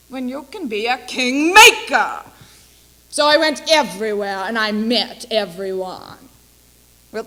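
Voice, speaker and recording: Woman acting a theatrical, slow-paced female talent agent. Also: sits high, sharp tone, full character voice, rough recording. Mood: breezy, gleeful. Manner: dramatic, deliberate delivery with long pauses. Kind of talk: theatrical dramatic monologue for speech competition.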